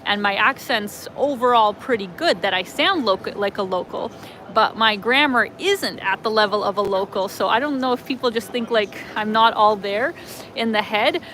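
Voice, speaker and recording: A woman's voice: medium pitch, normal speed, fairly bright tone, slight background noise, rough recording. A woman with a Canadian accent chatting in a rambling way, with many fillers, while she walks city streets on a live phone stream. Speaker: travel vlogger. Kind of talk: live walking-tour chat.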